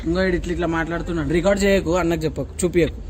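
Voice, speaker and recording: In a noisy recording, a man with a Telangana Telugu accent chips in casually. His speech is very quick and his voice sits high. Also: steady tone, darkish tone. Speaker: friend joining in a prank call. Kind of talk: casual interjecting chat.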